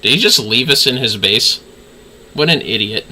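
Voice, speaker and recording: A man's voice: mid-range voice, expressive, normal speed, bright, forceful tone, a little hiss or hum, poor audio. A man giving casual, irreverent livestream commentary on a video game, with salty muttered asides.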